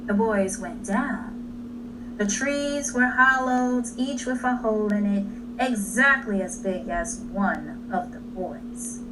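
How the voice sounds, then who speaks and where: A woman storyteller narrating a children's story expressively, with dramatic, deliberate pauses. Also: medium pitch. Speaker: read-aloud storyteller. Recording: distant mic, clearly noisy.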